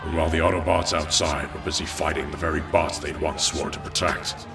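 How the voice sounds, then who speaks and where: An actor playing a noble, weary robot leader with a measured, grave and formal delivery. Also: male voice, extremely low pitch, little pitch movement, gravelly, distant mic, over music. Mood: reflective, grave. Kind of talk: scripted narration and solemn oratory.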